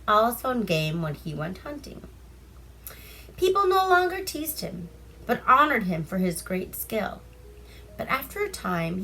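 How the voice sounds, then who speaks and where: A woman's voice: mid-range voice, bright, forceful tone, slight background noise, low-quality recording. A woman from Alaska telling folk tales in a read-aloud style, with an irreverent, chatty manner. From Seward Peninsula, Alaska. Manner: irreverent, chatty, breaks off to comment. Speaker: storyteller reading bedtime stories. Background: music.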